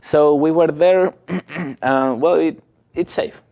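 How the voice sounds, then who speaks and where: A man in his twenties from Ecuador, speaking English with a Spanish accent as a guest, telling his story slowly and haltingly with little laughs. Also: a little high, gravelly, warm tone. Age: 20s. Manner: slow, halting non-native English with frequent laughs. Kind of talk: casual interview, telling his life story.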